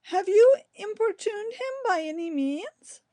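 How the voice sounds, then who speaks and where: A woman playing a concerned, gentle young male cousin in a Shakespearean drama, with an earnest, deliberate delivery. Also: very high voice, lively intonation.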